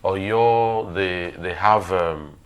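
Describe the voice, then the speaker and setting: Low-voiced Nigerian man, thinking aloud. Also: very slow, clear, resonant tone. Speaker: current affairs talk show speaker. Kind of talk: conversational analysis.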